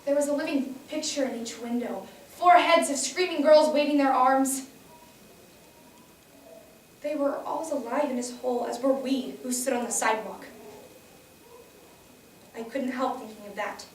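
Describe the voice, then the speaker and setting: A woman performs a young reporter shaken by what they saw, in a stage monologue. The high voice recounts events with grim, dramatic weight. The recording is distant and full of room echo. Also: moderate pace, long pauses, a little hiss or hum, natural voice. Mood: grim, horrified. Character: young news reporter, shaken horrified eyewitness. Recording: echoey room, distant mic.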